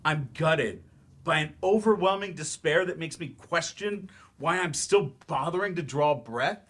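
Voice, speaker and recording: A man plays a wounded, sarcastic teacher in a staged drama reading. His voice sits fairly high, and he is defensive and emphatic, with grief under the bitterness. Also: pushed and bright, heavily acted. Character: bitter, sarcastic, grieving man, ex-writer turned remedial English teacher.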